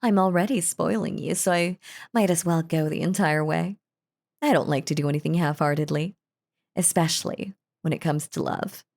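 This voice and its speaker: Woman acting a creaky-voiced, lovestruck yandere wife. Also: low voice, normal speed, a little mellow. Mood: affectionate, spoiling. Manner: doting, gushing, cheerfully unhinged. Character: lovestruck possessive yandere wife, sweetly menacing crazy stalker. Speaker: voice actor. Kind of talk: intimate audio roleplay monologue.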